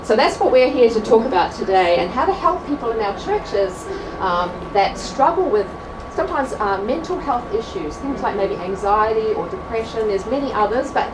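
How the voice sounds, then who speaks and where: A woman with an Australian accent presenting a class to an audience at a church event, chatty and quick to laugh at herself. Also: on the high side, lively intonation. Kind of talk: live talk to a class. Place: large room with an audience. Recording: echoey hall from far off, crowd noise.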